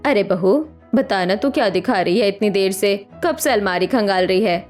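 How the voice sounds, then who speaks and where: A woman's voice: mid-range voice, moderate pace, darkish tone, faint music behind, voice pushed down, natural voice. A woman voicing a scheming, bossy, cruel middle-aged mother-in-law in a cartoon family drama. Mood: impatient.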